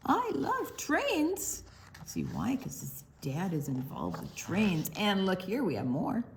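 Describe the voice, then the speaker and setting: A woman's voice: mid-range voice, wildly swooping pitch, takes it slowly, slight background noise. An older woman reading a picture book aloud to young children, drawing out words expressively and adding explanatory asides. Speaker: storytime reader.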